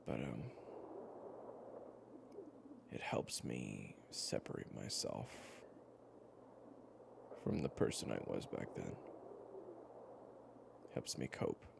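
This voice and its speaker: A man playing a weary but gentle storyteller with a regretted past, talking casually and haltingly to the listener in an intimate audio roleplay. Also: very deep voice, expressive, gravelly, background noise, full character voice. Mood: subdued, reflective. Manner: casual, halting, with sighs and pauses.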